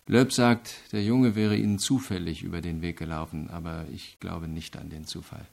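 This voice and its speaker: A man playing an earnest, reflective investigator in a radio crime drama, explaining things in a measured, deliberate way. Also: low-pitched, fairly even intonation, vocal fry, a little mellow. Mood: earnest, intense. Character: earnest, reflective male investigator reporting to his superior.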